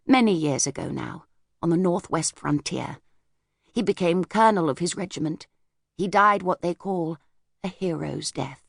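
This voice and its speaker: A woman voicing a reserved, wistful older lady in an audiobook, speaking gently and hesitantly as she looks back. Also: lowish voice, moderate pace, gravelly, no room sound, clean studio sound. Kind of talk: audiobook character voice.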